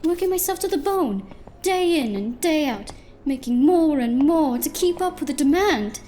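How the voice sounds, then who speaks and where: An actress playing a sweet, nervous diner owner in a noir audio drama, genteel and hesitant, sounding innocent. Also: female voice, high voice, animated, moderate pace, mellow, slight background noise. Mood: wounded, straining.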